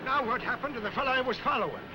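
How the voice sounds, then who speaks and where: A man's voice: extremely high pitch, racing, noisy background. An actor playing a brash, bumbling newsman in a 1940s film serial. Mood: flustered.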